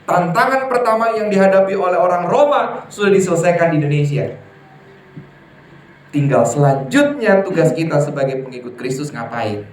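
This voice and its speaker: A man preaching a sermon to a congregation, lively and emphatic, often putting questions to his listeners. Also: fairly high pitch, moderate pace. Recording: echoey hall from far off, faint ambience.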